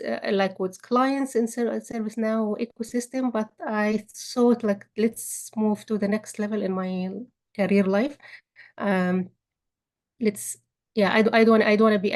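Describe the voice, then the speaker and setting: A woman, speaking at a meetup about her career, often restarting and repeating words. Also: medium pitch. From Egypt. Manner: frequent restarts and repeated words, warm laughing asides. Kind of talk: personal career story talk.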